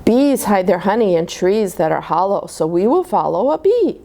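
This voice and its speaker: A woman's voice: medium pitch, very animated, normal speed, gravelly, dark tone, voice pushed down. A woman voicing a boastful, confident father bear in a children's storybook, making pompous, emphatic pronouncements. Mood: confident, instructive.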